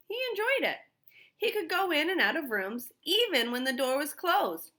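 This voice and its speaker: Animated woman reading a children's storybook. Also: a little high. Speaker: storybook reader. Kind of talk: expressive children's read-aloud.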